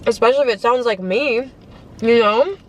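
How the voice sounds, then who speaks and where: A young woman chatting casually in a parked car, talking fast and filling her talk with "like". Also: fairly high pitch, a little hiss or hum. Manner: chatty, filler-heavy, lots of "like". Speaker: vlogger. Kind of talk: casual car mukbang chat. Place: parked car at a drive-through.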